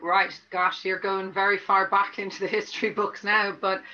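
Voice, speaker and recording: A woman's voice: mid-range voice, little pitch movement, normal speed, pushed and bright. A middle-aged Dublin woman, a tennis coach, answering interview questions in a chatty, rambling way full of restarts. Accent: Dublin Irish. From Ireland. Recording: dull lo-fi audio.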